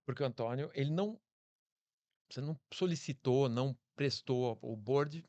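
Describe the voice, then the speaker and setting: A man's voice: mid-range voice, moderate pace, dark tone, dry recording, muffled recording. A man with a Brazilian Portuguese accent, co-hosting an interview in a casual, reflective way. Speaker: podcast co-host, interviewer.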